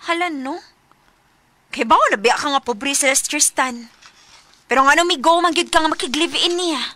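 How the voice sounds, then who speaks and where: A woman's voice: sits high, moderate pace, noisy background, close to an everyday voice. A woman acting a reasonable, placating friend in a Filipino radio drama, with a Cebuano accent and a questioning manner. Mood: concerned, placating. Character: reasonable female confidante calming her friend.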